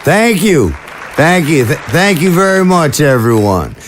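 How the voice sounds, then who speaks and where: A man playing a stately veteran president giving a deliberate, oratorical political speech in an audio drama. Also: on the high side, big pitch swings, a crowd in the background, background noise. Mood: proud, ceremonial.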